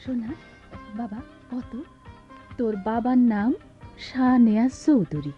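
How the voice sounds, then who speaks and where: A woman's voice: a little high, unhurried, slightly rough. A woman playing a hurt, abandoned village wife and mother in a radio drama, plaintive and insistent, with a rural Bangladeshi Bengali dialect. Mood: defiant. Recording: dull lo-fi radio recording with music.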